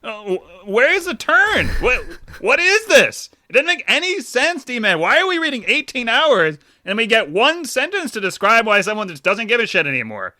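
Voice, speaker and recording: A man co-hosting a casual book club podcast, animated and given to exasperated rants. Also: very high-pitched, normal speed, dry recording. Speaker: podcast co-host.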